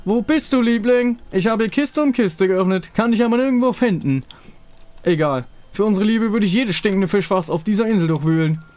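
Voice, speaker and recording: A man's voice: sits high, lively intonation, normal speed, a little mellow, voice pushed up. A man voicing an undead lovesick king from a fantasy game, grandiose, menacing and theatrical. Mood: lovesick, searching. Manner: pompous, theatrical.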